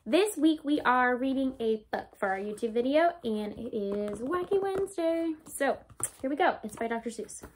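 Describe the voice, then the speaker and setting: A woman in her twenties reading a picture book aloud to young children, expressive and speaking to them directly. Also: high voice, moderate pace, slight background noise. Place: small room indoors.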